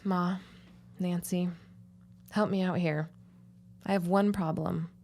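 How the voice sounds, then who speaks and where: An actress playing a wry, stubborn young shopkeeper's daughter with a sardonic, quick tongue in a period audio drama. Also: female voice, on the low side, fairly even intonation, creaky, darkish tone. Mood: exasperated, sardonic. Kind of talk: period radio-style audio drama acting.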